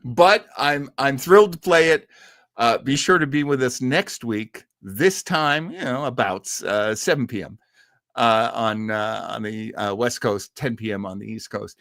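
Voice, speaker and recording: A man hosting an old-time radio show livestream, chatting casually and enthusiastically in a rambling, halting way full of tangents. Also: medium pitch, fairly bright tone, no room sound, studio quality.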